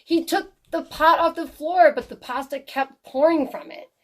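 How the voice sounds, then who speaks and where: A woman reading a children's story aloud in an expressive storytelling style. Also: high-pitched, normal speed.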